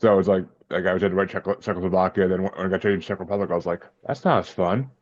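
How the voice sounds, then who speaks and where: A man's voice: low-pitched, fairly even intonation, racing, no room sound, lo-fi sound. A man from Massachusetts, a podcast guest, chatting in a laid-back, hesitant way and often repeating his words. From Massachusetts, USA. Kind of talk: casual interview chat.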